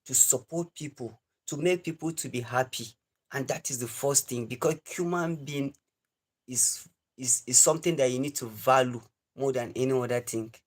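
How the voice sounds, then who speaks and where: A man in his twenties with a Nigerian accent, hosting a livestream and giving an earnest, repetitive lecture to his viewers. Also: a little high. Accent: Nigerian English. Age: 20s. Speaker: live stream host. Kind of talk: preachy livestream monologue.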